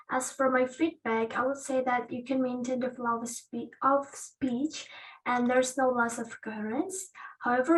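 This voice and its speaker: High-voiced young woman, distant mic. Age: young adult. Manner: brief, formal questions and feedback. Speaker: examiner. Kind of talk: mock English speaking test. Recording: far from the mic.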